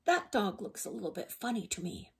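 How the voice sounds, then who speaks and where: A woman voicing a big, good-natured skeleton in a storybook read-aloud, speaking in a plain, mildly puzzled way with a husky tone. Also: mid-range voice, big pitch swings, a little fast, heavily acted.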